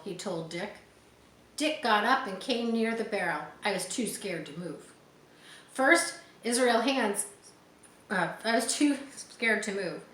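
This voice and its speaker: Female children's storybook reader, medium pitch, expressive, pauses to explain. Roomy recording with faint noise. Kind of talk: storytelling read aloud with simple explanatory asides for children. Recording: echoey room with faint background noise.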